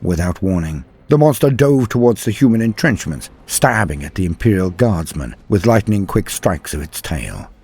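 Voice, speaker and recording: A low-voiced man narrates lore as a show host, with a husky grain and a very animated delivery. His scripted address is grand, formal and theatrical. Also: normal speed, hardly any pauses. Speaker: host of a fantasy lore channel.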